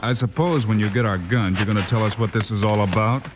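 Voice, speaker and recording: An American man playing a calm, plainspoken heroic lawman in an old-time radio western, dry and unruffled. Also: on the low side, fast, close to an everyday voice. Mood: wary, steady. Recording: noisy old radio recording.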